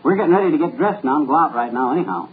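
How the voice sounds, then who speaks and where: An actor playing a blustery, folksy middle-aged husband in 1940s radio comedy. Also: male voice, a little high, lively intonation, rapid-fire, slightly rough. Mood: cheerful. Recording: tinny old radio broadcast with faint hiss.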